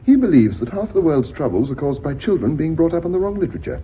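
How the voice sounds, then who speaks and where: A man's voice: a little high, big pitch swings, quick pace. A male actor with a British accent playing a bitter, lovelorn chief accountant in a radio drama. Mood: wry. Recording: dull lo-fi radio recording, clear hiss.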